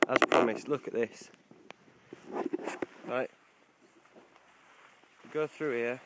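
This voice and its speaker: A man's voice: medium pitch, fairly even intonation, extremely slow. Young man, casual outdoor vlog, handheld phone, chatty, cursing, faint background noise. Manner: chatty, swearing, laughs easily. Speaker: vlogger, wild camper. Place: outdoors on a coastal hillside.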